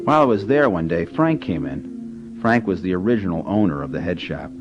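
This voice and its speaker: A man's voice: deep voice, expressive, brisk. An actor playing a young man who recounts his days as a teen drug dealer in a radio drama, earnest and confessional. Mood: reflective. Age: young adult. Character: teenage drug dealer telling his past. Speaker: narrator. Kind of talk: radio drama narration and acting. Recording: dull radio broadcast with music. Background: music.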